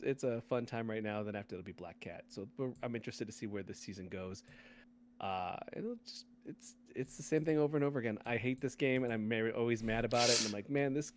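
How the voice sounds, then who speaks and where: A man's voice: on the low side, a little hiss or hum. A man hosting a gaming podcast, chatting casually. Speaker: podcast host.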